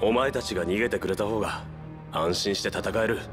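Game soldier male actor: calm, reassuring, lowish voice, gentle delivery, music underneath. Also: a little fast, close to an everyday voice. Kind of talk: video game drama acting. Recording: music behind the voice.

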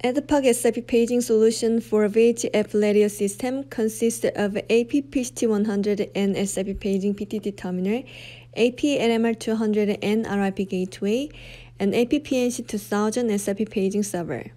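A woman reading scripted product demonstration narration in a measured, formal manner. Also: medium pitch, fairly even intonation, mellow.

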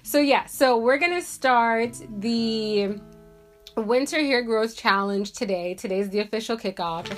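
A woman's voice: a little high, a little slow, soft background music. A woman in her thirties from Jamaica, congested from a cold, chatting casually to camera in her bedroom with lots of fillers. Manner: chatty, rambling, many "like" and "um" fillers. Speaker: beauty vlogger. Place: bedroom at home, indoors.

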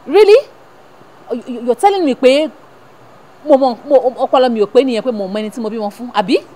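A woman's voice: on the high side, expressive, brisk, a little mellow, husky. An actress playing a worried mother who scolds and coaxes her grown son, switching between languages. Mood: indignant. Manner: code-switching, scolding and coaxing. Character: worried middle-aged mother mediating at home. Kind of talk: emotional family drama acting.

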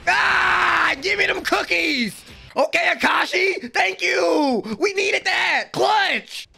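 A young American man giving excited, slangy reaction commentary at home on a close microphone, with an exclamatory manner. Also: extremely high pitch, slow pace, gravelly, raised voice, soft background music. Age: young adult. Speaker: reaction video host. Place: small room at home. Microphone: close desk microphone with headphones.

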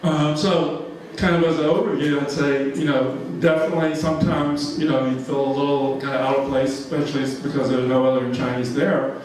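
A man's voice: a little high, fairly even intonation, brisk, hardly any pauses, darkish tone, slight background noise. A man in his seventies from Georgia giving an informal talk with slides, rambling with lots of fillers and frequent chuckles. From Georgia, USA. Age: 70s. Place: large church hall. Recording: echoey hall, mic far off.

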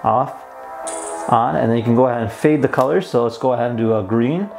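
A man in his twenties walks viewers through a gadget in a casual, easygoing way. He sounds mildly enthusiastic, with clear music playing behind his voice in an indoor room. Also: mid-range voice, brisk. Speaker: host of a toy review channel. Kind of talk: casual hands-on product demo. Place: plain indoor room at a table.

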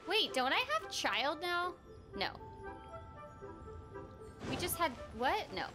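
A woman's voice: sits high, sing-song, slow. A young adult woman chatting casually and playfully while streaming a video game. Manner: playful, giggly, exclamatory. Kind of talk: casual livestream chat while gaming. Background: game music.

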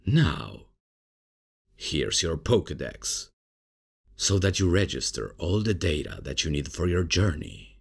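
A man playing a laid-back, bumbling substitute professor in a comedic ASMR roleplay, casual and hesitant, with a deep, gravelly, husky voice. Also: big pitch swings, slow, heavily acted. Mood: casual, amused. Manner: casual, hesitant, chuckling, unprofessional. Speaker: roleplay audio performer.

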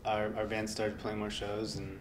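A man in his thirties talking about his life in a relaxed interview, soft-spoken and rambling with lots of fillers. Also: on the low side, measured pace, a little hiss or hum. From United States. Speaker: interviewee, guitarist. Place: indoor workshop studio.

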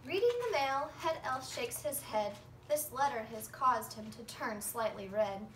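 A young woman reading a children's storybook aloud in an expressive, singsong way. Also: a little high, a little slow. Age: young adult. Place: living room at home, indoors. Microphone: phone camera a few metres away. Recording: roomy, distant phone recording with faint noise.

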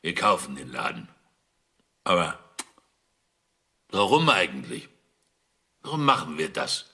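An actor playing a bullish, crude company boss in his fifties in an audio drama, with a rough, husky voice and blunt manner. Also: extremely low pitch, huge pitch swings, a little slow, sharp tone, heavily acted. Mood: gruff, skeptical. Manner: blunt, coarse. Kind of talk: German audio drama acting.

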